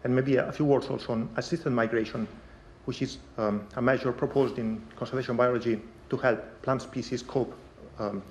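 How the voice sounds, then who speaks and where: A man presenting a scientific conference talk in a lecture hall, measured and pausing often with ums. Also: medium pitch, a little hiss or hum. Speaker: conference speaker.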